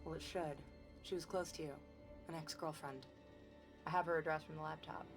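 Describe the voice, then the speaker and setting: A protective young ally in a video game, her voice notably low for a woman. She sounds concerned and urgent, and music plays clearly behind her. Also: steady tone, a little fast. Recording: loud music over the voice.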